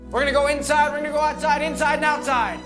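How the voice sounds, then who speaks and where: A man's voice: extremely high pitch, fairly even intonation, fast talker, music in the background, voice pushed up. A man voicing an eager young man who chants a rousing locker-room speech in an audiobook. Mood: fired up. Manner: chanting, repetitive pep-talk delivery.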